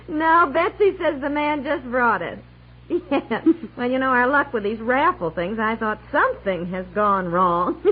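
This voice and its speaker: Exasperated 50s radio housewife, high pitched, fretty, thin old recording. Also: female voice, animated, slow, husky, phone-quality sound, full character voice. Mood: relieved, nervous. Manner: fretful, breathless run-on complaining. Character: flustered, exasperated wife and mother. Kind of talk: 1950s-60s radio comedy acting.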